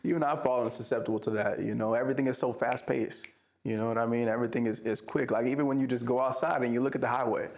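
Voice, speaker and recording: Gravelly relaxed young man. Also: medium pitch, fast talker. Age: 20s. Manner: relaxed, reflective, many "you know"s. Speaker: podcast guest. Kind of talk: casual conversation.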